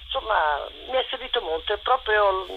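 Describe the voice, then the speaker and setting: A woman talks over the phone about her stage play, her voice muffled by the line and backed by a faint hiss. She speaks in an animated, rambling way, often restarting her sentences. Her pitch sits in the middle range. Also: moderate pace. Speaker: theatre director. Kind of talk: radio interview.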